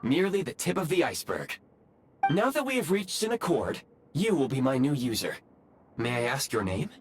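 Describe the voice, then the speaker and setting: A man's voice: medium pitch, sing-song, normal speed, a little hiss or hum. A man voicing a polite robot assistant in a video game, speaking in formal, precise, machine-like phrases with devotion to serving. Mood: courteous. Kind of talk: video game character dialogue.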